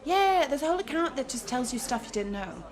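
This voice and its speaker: A woman's voice: high voice, very animated, brisk, crowd noise. A woman playing a gullible, opinionated young woman in a satirical audio drama, breezily sure of muddled facts. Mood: confidently explaining. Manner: confidently misinformed, breezy, casual.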